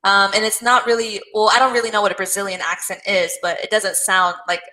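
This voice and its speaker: A woman tutors English over a video call, gentle and encouraging. She murmurs agreement often and explains things patiently. Her voice is bright and clear, at a middle pitch. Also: level tone, a little fast, poor audio. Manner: encouraging, gentle, many backchannel affirmations. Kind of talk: casual one-on-one conversation lesson.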